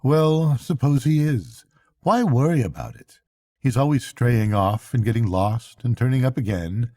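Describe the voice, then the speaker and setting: A man's voice: mid-range voice, animated, measured pace, warm tone, no echo, studio quality. A man voicing a good-hearted, loyal mole with a plain-spoken, eager manner, as in a bedtime storybook. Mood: light, reassuring. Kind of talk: bedtime storybook character reading.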